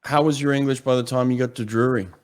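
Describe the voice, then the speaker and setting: A man from Australia, hosting an interview and asking relaxed, curious questions. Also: mid-range voice, fast, smooth. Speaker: podcast host. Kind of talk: interview conversation.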